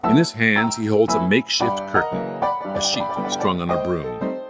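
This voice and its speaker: A man's voice: lowish voice, animated, a little slow, loud music behind the voice. A man reading stage directions as scripted narration for an audio musical.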